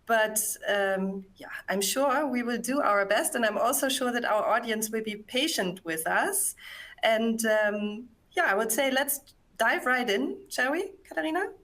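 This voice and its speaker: A woman who is not an English speaker, hosting a live talk in measured, friendly English. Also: a little high, animated, a bit bright. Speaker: moderator.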